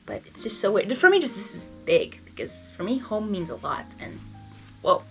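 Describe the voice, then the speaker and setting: A young adult woman from Hungary casually reacting as she reads fan fiction aloud, excitable and rambling, often interrupting herself. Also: medium pitch, normal speed, faint music behind. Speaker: fan fiction reader and video host. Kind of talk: casual blind reading with personal commentary.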